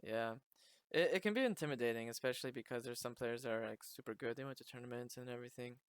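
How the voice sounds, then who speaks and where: A man's voice: mid-range voice, fast, clean tone, dry recording, studio quality. A man hosting a podcast, chatting and asking questions in a casual, conversational interview style. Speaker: podcast host.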